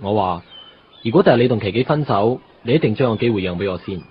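A man's voice: fairly low pitch, animated, fast talker, slight background noise, lightly acted. An actor playing an earnest, wistful married salesman in a Cantonese radio drama, chatting in Hong Kong Cantonese sprinkled with English words. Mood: wistful, needling. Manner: conversational storytelling with English words mixed in. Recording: muffled radio drama with music bed.

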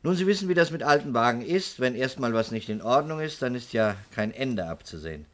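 A man's voice: medium pitch, expressive, brisk, close to an everyday voice. Man acting a shrewd, insistent radio investigator. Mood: assured, explaining. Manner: methodical, politely insistent questioning. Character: shrewd, composed male insurance investigator pressing for answers. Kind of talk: 1960s German radio crime drama acting.

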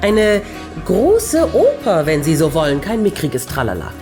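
A woman's voice: low voice, wildly swooping pitch, unhurried, full character voice. A woman playing a haughty, theatrical corporate boss in a radio play, speaking grandly and precisely. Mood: haughty, savouring. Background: music.

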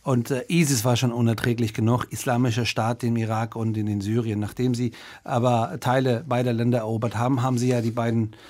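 Iranian-born politician in his 40s, animated, lots of uh's and restarts. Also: medium pitch, brisk. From Iran. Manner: animated, many restarts and fillers. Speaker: member of parliament, guest. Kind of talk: radio interview.

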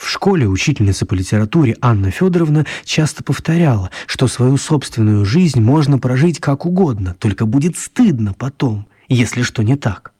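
A man's voice: medium pitch, animated, moderate pace, hardly any pauses, warm tone, breathy edge, no echo. A man narrating a scripted story for a children's radio play.

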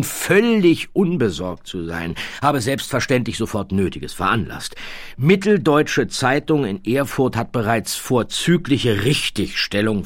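A man's voice: medium pitch, dry recording, pitched above the performer's own voice. A man voicing an older baron and army colonel for an audiobook, fawning and pompous, in military staccato. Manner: snappy military staccato, pompous deference, dropped articles. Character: obsequious older baron, stiff army colonel, eager to please. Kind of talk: audiobook character voice.

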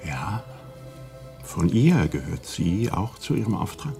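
An actor playing a blunt, stern intelligence interrogator in a radio drama, confrontational and deliberate in delivery. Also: male voice, lowish voice, very animated, vocal fry, warm tone, soft background music, heavily acted. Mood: pressing.

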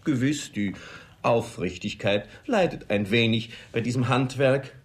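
An actor playing an ardent young suitor in a classic comedy radio play, eloquent and insinuating. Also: male voice, mid-range voice, measured pace, natural voice. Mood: ardent, persuasive. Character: ardent, smooth-talking young male suitor.

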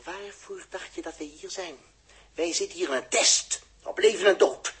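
An actor playing a gruff, short-tempered young genius in a 1960s radio drama, stammering and spluttering as he repeats words. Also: high voice, moderate pace, fairly bright tone, heavily acted. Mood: outraged.

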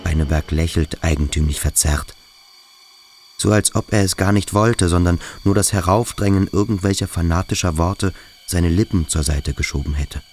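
A man's voice: sits low, moderate pace, a little mellow, faint music behind. A man narrating scenes in the present tense for a radio play.

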